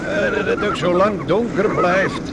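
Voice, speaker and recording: An actor playing a skeptical island beachcomber in a radio play, exclaiming and repeating himself. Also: male voice, high voice, measured pace. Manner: exclamatory, repetitive. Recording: very noisy radio play, storm wind and sea. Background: roaring wind and waves.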